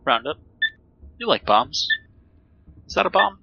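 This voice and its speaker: An actor playing a stern, protective, deadly serious mercenary in an audio drama, with slightly muffled recording. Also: male voice, a little high, big pitch swings, a little slow, creaky, heavily acted. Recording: dull sound, faint background noise.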